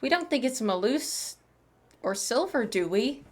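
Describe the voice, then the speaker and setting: A woman's voice: a little high, expressive, unhurried. A woman streamer giving casual gameplay commentary and reading choices aloud. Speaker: streamer playing a game.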